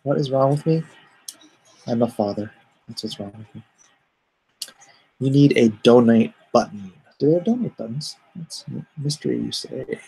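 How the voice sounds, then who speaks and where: A middle-aged man streaming live from home, reading chat aloud and answering it in an easygoing, even-tempered way. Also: medium pitch, normal speed, creaky, long pauses, breathy edge, low-quality recording. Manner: calls himself soothing and even-tempered; reads chat comments aloud and answers them.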